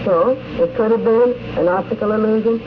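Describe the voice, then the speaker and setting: A woman speaks earnestly to an interviewer, telling her account in measured, articulate sentences. It is an old radio recording with a dull sound and plain background noise. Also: fairly high pitch. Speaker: convention attendee being interviewed. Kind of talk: unscripted personal account in interview. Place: convention in the countryside.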